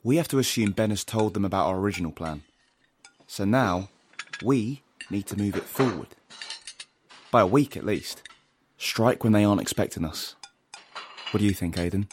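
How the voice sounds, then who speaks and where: A man's voice: on the low side, animated, slight background noise, lightly acted. Man playing a blunt, commanding rebel. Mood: urgent, decisive. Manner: brisk, commanding, plain-spoken. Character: blunt, bossy outdoor rebel planning a strike. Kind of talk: audio drama acting.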